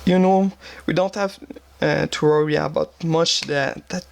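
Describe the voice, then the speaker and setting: Young quebec guy w french accent, bit high and gravelly, lots of ums and restarts talking games. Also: fairly even intonation. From Quebec, Canada. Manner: halting, many fillers and restarts, searching for words. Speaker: gaming channel host. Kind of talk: game teambuilding commentary.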